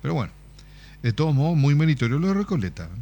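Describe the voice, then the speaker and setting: A man's voice: on the high side, big pitch swings, very fast, mellow, muffled recording. A man co-hosting a radio sports talk show in casual, jokey banter. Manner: chatty, jokey asides.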